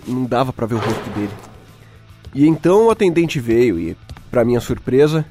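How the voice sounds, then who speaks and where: A man's voice: mid-range voice, big pitch swings, normal speed, dark tone, soft background music, natural voice. A Brazilian man playing a frightened young captive who gives an anxious, colloquial first-person testimony in a horror audio drama. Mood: fearful. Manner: anxious, colloquial confessional telling.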